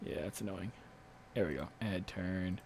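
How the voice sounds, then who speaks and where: A man's voice: low voice, moderate pace, creaky, darkish tone, husky. A man speaking casually and a little hesitantly as game master of a tabletop role-playing game.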